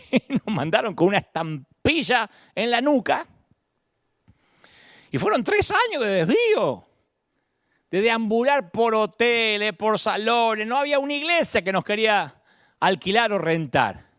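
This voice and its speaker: A middle-aged Argentine pastor with a porteño accent delivers an emphatic sermon. His fairly high voice leaps through a very lively pitch range. The sound is dry and close, with no room echo. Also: measured pace, pauses often. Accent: Argentine Spanish, Buenos Aires. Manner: expressive preaching, swings between high emphatic peaks and low asides.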